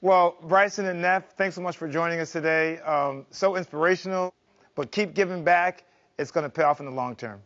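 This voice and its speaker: A middle-aged man hosts a talk show from a studio, his voice sitting high for a man. He sounds keen and encouraging with his guests. The audio is a little dull. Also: fairly quick, a bit bright. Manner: enthusiastic, encouraging. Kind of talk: upbeat talk show interview. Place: TV studio set.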